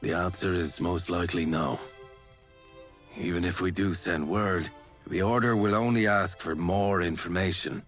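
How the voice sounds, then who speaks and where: A man playing a stern, measured military captain in a fantasy game, formal and authoritative. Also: deep voice, steady tone, a bit bright. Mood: grave, commanding. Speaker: video game character. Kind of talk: English game cutscene voice acting. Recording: music behind the voice.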